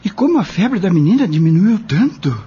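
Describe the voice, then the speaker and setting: A man's voice: high voice, big pitch swings, moderate pace, mellow, husky, highs cut off, full character voice. A man playing a humble, anxious working-class father in an audio drama, earnest and plain-spoken. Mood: bewildered.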